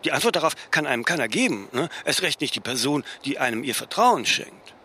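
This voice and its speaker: An actor playing an imperious, self-mythologizing older fashion designer in a German radio play, speaking haughtily in aphorisms and tagging sentences with "ne?". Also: male voice, medium pitch, very animated, brisk, sharp tone, slight background noise. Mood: aloof, musing.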